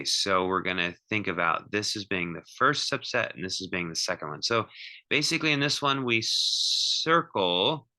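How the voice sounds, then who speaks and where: A male math teacher with a low-pitched voice and a very bright, forceful edge. He explains step by step in an informal lecture style, pausing, restarting and repeating phrases as he thinks aloud.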